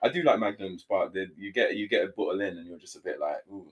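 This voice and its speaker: Chill young guy rambling on a podcast, real low voice. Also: animated, quick pace, muffled recording. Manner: laid-back, rambling, lots of "like" and "you know what I'm saying". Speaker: podcast co-host. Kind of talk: casual chat.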